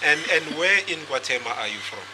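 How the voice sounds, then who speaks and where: A man's voice: medium pitch, animated, fast talker. A man with a South African accent hosting a podcast, asking questions in a chatty way and slipping into Zulu phrases. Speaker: podcast host. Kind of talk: casual interview chat. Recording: noisy podcast recording.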